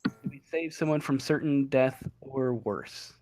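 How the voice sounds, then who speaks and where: A man's voice: medium pitch, a little slow, darkish tone, dry recording. A man running a tabletop role-playing game as game master over a voice call, hesitant and full of fillers. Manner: hesitant, filler-heavy, trailing off.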